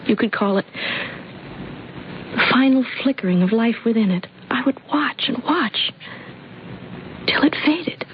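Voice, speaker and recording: Earnest young woman, muffled radio. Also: on the high side. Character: earnest, impressionable, sensitive young woman, wonder-struck. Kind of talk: old-time radio drama acting. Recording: muffled radio recording with noise.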